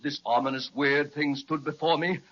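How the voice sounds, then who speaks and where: A high, bright-voiced dragon played by a man fit for a children's radio serial. He is pompous and melodramatic, savoring long words as he boasts and laments. The audio is somewhat dull and lo-fi. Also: steady tone, moderate pace, slight background noise, lightly acted. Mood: anguished, indignant.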